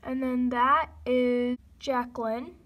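A woman giving a casual guided tour of her model horse barn, listing each horse in a steady run of "and then". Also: high-pitched, slow pace, highs cut off. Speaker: model horse hobby vlogger. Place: indoors in a home room beside a toy horse barn.

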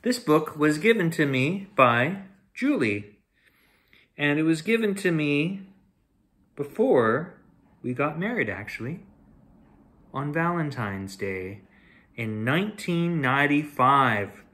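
A man in his forties reading a children's picture book aloud as a storyteller, deliberate and expressive. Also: a little high. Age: 40s. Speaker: storyteller reading bedtime stories. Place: small home room with shelves, indoors.

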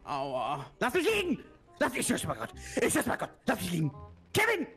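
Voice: medium pitch, wildly swooping pitch, moderate pace, fairly bright tone, faint music behind. A gamer roleplaying casually in a dramatic, protesting and slangy manner.

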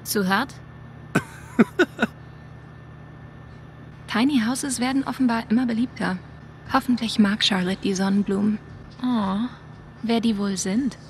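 A woman's voice: a little high, takes it slowly, long pauses, a little hiss or hum. A woman playing a wry, friendly young woman in a video game, with a dry, casual way of talking. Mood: playful. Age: young adult. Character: wry, friendly young woman, long separated from her brother. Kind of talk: naturalistic video game dialogue and inner monologue.

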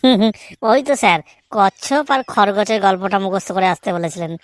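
A woman's voice: mid-range voice, animated, a little fast, dry recording, natural voice. A woman voicing a cheeky, crude village schoolboy in a comedy cartoon. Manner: cheeky, blunt excuse-making.

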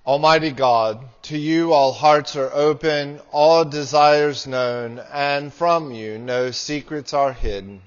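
A man in his thirties, a priest reading prayers and scripture in a solemn, measured liturgical style. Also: fairly high pitch, smooth, dull audio. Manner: solemn, measured, deliberate phrasing. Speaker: priest leading a church service. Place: small church with hard walls.

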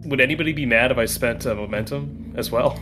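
A man's voice: mid-range voice, fast talker, over music. A man chatting casually as a player in a tabletop game, talking in a loose, sweary way.

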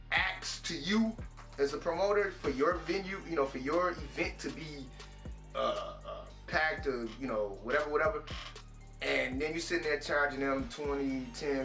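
Hesitant indignant Detroit rapper, roomy. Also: male voice, a little high, bright, forceful tone. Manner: hesitant, many "um" and "you know", indignant. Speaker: rapper interviewee. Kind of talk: candid interview talk.

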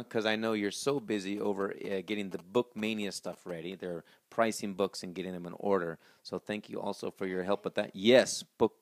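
A man's voice: lowish voice, no echo, studio quality. A man in his thirties hosting a school video in a small office, upbeat and presenting to camera with a handheld mic. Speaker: school host. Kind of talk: school announcement interview.

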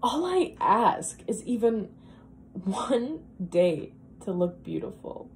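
A woman performing a dramatic monologue as a wistful, self-deprecating woman who longs to be beautiful, speaking in a halting, confessional, emphatic way. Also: medium pitch, big pitch swings, a little hiss or hum. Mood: earnest, frustrated.

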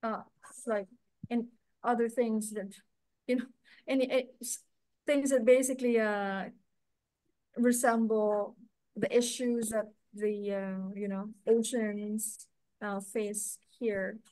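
A woman's voice: a little high, level tone, takes it slowly, long pauses, warm tone, no echo, rough recording. A woman hosting an online alumni meetup over a video call, chatty and friendly, with many fillers. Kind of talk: casual moderating on video call.

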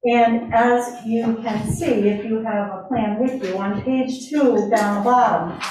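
A woman's voice: fairly high pitch, steady tone, takes it slowly, a little mellow, slight background noise. A woman giving a public comment at a town meeting, reading prepared remarks with some hesitations. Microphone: standing at a floor mic in a large room. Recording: echoey room, voice from far off.

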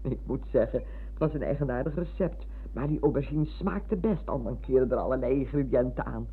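A tinny, slightly noisy radio drama recording features a motherly older woman. She chatters effusively and wanders off the point. Her intonation rises and falls in a very lively way. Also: very deep voice, fairly quick. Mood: fondly reminiscing. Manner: effusive, digressing storyteller. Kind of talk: radio play acting. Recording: narrow-band, noisy, humming old radio recording.

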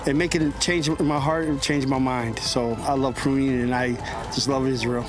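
A man's voice: medium pitch, fairly even intonation, moderate pace, over music. A man giving a heartfelt, simply phrased answer in an outdoor vineyard interview. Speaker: volunteer.

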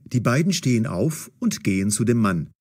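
A man reads a story aloud with lively, varied pitch. His voice has a husky edge, and he phrases each sentence deliberately, as a scripted audiobook narrator does.

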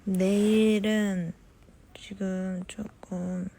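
A young woman chatting casually on a phone livestream, reading fan comments and answering them softly, at times slipping into whispers. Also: medium pitch, little pitch movement, gravelly. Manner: slow, soft-spoken, drifts into whispers. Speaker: idol livestreaming to fans.

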